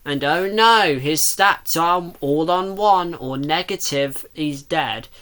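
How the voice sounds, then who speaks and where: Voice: mid-range voice, slow pace, fairly bright tone, smooth. Someone giving casual reaction commentary on a video, rambling and second-guessing as they think aloud. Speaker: reactor commenting on a fan comic dub.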